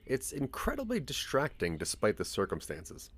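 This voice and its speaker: A man's voice: medium pitch, huge pitch swings, normal speed, breathy edge, dry recording. A man narrating a scripted audio drama in the second person, with a dramatic storytelling manner.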